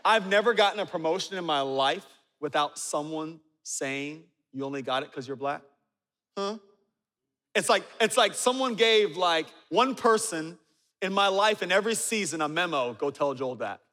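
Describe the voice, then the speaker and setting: A man in his forties preaching a sermon, telling stories with humor and dropping low and quiet for asides. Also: expressive, normal speed. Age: 40s. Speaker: pastor. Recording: reverberant hall.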